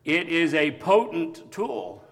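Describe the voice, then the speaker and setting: A man preaching a sermon with a big voice that grows animated at times. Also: a little high, slow, in a large hall. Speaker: pastor.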